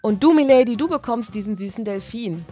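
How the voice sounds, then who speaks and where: A woman's voice: on the high side, animated, a little fast, dark tone, faint music behind, natural voice. Woman voicing a polite, easygoing teenage boy. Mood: gallant, relaxed. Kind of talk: acted toy-figure story for children.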